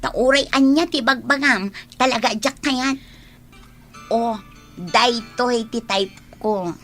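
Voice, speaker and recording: A woman's voice: on the high side, slow pace, fairly bright tone, faint music behind. A woman acting a sulky, haughty young woman in an Ilocano radio drama. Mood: irritated, dismissive. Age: young adult.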